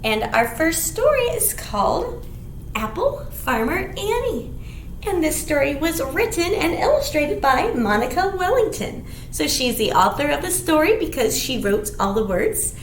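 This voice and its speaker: A woman presents a children's storytime in a very high, sing-song voice. Her pitch swoops widely and she takes her time, phrasing simply for little listeners. The room sounds echoey. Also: very animated, measured pace. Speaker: children's librarian hosting storytime. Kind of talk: children's storytime read-aloud with songs and rhymes. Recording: echoey room, clear background noise.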